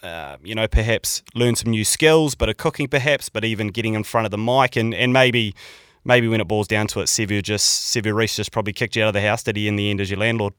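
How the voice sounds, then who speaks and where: A man's voice: fairly low pitch, fast, a bit bright, clean tone, no echo, clean studio sound. A man hosting a podcast interview, teasing his guests and saying "mate" and "like" a lot. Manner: jokey, teasing, says "like" and "mate" often. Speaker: podcast host. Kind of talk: casual interview chat.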